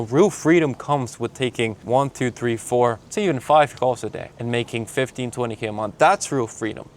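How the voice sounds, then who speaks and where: A young man with a Mexican Spanish accent delivers a blunt, motivational pep talk into his own camera, peppering it with man and bro. His voice sits at an everyday middle pitch. A faint outdoor ambience hums behind him. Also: slight background noise. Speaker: sales coach. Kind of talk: motivational vlog monologue. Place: outdoors while traveling. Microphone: handheld camera filming himself.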